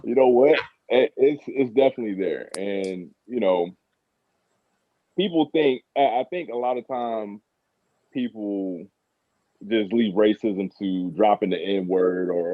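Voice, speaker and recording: A man's voice: on the low side, animated, normal speed, no echo. An American man in his thirties telling stories casually and informally as a podcast guest. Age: 30s. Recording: phone-like thin radio sound.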